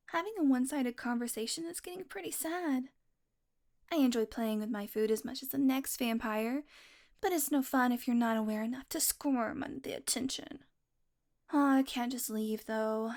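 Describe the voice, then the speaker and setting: Woman playing a smug, high-voiced teasing vampire. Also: darkish tone, lightly acted. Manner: taunting, drawling, dropping into breathy murmurs. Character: smug, teasing female vampire, predatory old enemy. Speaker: audio roleplay performer. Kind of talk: intimate dramatic audio roleplay.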